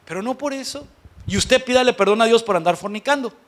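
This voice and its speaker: A man's voice: very high voice, quick pace, fairly bright tone. A man with a Mexican accent preaching as a pastor in a conversational, blunt and colloquial way, leaning on rhetorical questions for emphasis. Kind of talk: conversational sermon to a congregation.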